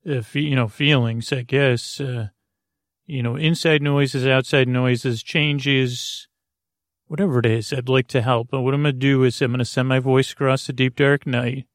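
A man with a creaky voice hosting a sleep podcast in a meandering, halting monologue that drifts off on tangents and circles back. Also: medium pitch, steady tone, no echo, clean studio sound. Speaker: podcast host.

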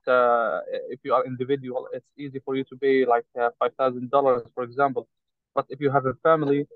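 Man, from Saudi Arabia, halting speech full of pauses, interview guest, crisp voice, phone-quality audio. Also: medium pitch, no echo. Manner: halting, frequent hesitations. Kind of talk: interview over a call. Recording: tinny choppy call line.

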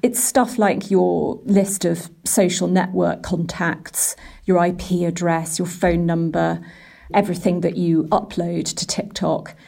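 A woman's voice: fairly low pitch, little pitch movement, a little slow, gravelly, warm tone. A woman working as a journalist and editor, giving explanatory answers in an interview. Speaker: business and technology editor.

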